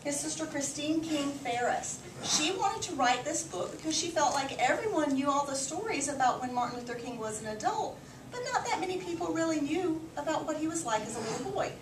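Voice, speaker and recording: In a roomy, noisy recording, a woman reads a children's book aloud from a little way off the mic. Her voice swings widely in pitch as she tells the story with clear emphasis for young listeners. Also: lively intonation, a little fast, distant mic. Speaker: read-aloud teacher. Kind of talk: expressive picture book read-aloud.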